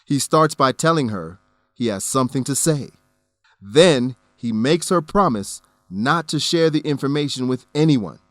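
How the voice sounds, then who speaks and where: A man's voice: medium pitch, animated, unhurried, no echo, faint music behind. Male English teacher narrating, dry studio sound. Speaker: English lesson host. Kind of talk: scripted educational narration.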